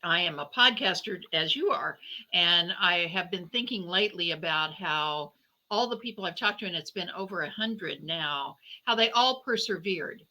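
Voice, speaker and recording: Bright, sharp-voiced woman giving interview answers. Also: lowish voice, lively intonation, normal speed, low-quality recording. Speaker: guest novelist and podcaster.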